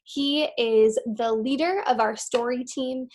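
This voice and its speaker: A woman's voice: fairly high pitch, slow, darkish tone. Upbeat woman hosting an interview. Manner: upbeat, encouraging with short affirmations. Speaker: interview host.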